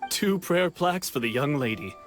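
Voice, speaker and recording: A man's voice: fairly high pitch, animated, moderate pace, close to an everyday voice. A man playing a courteous festival stall keeper in a video game, with a welcoming vendor's manner. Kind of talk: video game character acting. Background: faint music.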